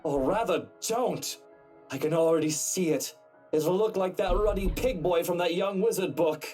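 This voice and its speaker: An actor playing a sardonic, hard-drinking wealthy husband in a game, with a theatrical, wry manner. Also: male voice, high-pitched, moderate pace, darkish tone, close to an everyday voice. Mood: evasive, defensive. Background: game music.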